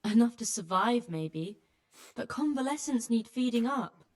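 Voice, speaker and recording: An actress playing a weary, blunt healer who tends refugees, with dry exasperation, in a dramatic video game. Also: female voice, on the high side, expressive, unhurried. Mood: weary, bitter. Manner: exasperated, dry, plain-spoken.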